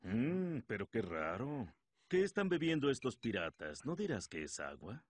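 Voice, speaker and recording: A man's voice: medium pitch, big pitch swings, moderate pace, slightly rough, no room sound. A man playing an arrogant, menacing mountain bandit chief in anime-style acting, with music behind him. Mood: contemptuous.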